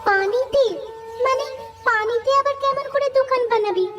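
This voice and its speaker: Lady doing a worried younger village sister, cartoon, really high voice, bit far from mic. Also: normal speed, music in the background. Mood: worried, puzzled. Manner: questioning, earnest. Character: earnest younger village sister, questioning and anxious. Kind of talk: cartoon dub acting.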